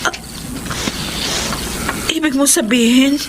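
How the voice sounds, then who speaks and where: A young wife in a radio drama, speaking in a fairly high voice. She is anxious and worn down, confiding and emotive. There is clear ambient noise around her. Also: takes it slowly. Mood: alarmed. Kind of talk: Tagalog radio drama acting.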